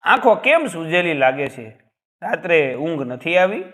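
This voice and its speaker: Guy doing an older mother-in-law in a story, caring, concerned, asking stuff, animated pitch. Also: measured pace.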